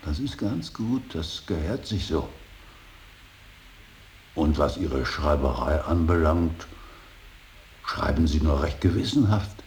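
An actor playing a stern, earnest older painter and professor in a radio play, preaching duty with emphatic, deliberate repetition. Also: male voice, lively intonation, a little mellow, heavily acted. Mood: earnest, admonishing. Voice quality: gravelly, very deep. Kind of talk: German radio play acting. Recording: hissy radio drama recording.

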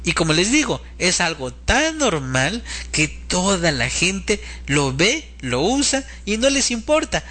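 A man with a fairly high, bright and forceful voice delivers a sermon-like lecture. His pitch moves up and down in a lively way as he comments on religious matters. The delivery is earnest and moralizing. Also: takes it slowly, hardly any pauses.

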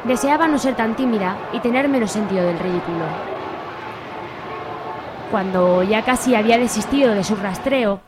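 A woman with an accent from Spain reading scripted narration for a radio drama. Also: fairly high pitch.

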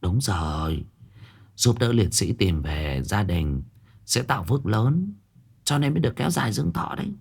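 A man's voice: on the low side, big pitch swings, moderate pace, creaky, darkish tone, slightly rough, exaggerated voice. A man doing a grave old woman fortune-teller. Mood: solemn.

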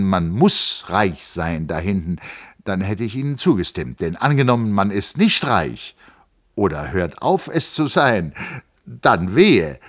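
A man's voice: lowish voice, no echo, clean studio sound, pitched above the performer's own voice. A man voicing an earnest, eager young male engineer in a novel reading. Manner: earnest, eager, increasingly heated.

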